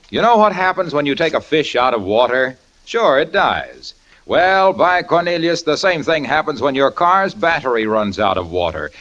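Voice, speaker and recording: Folksy old-time radio pitchman. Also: a bit bright. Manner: folksy, salesy, emphatic. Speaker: announcer. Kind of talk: vintage radio commercial. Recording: muffled old radio broadcast.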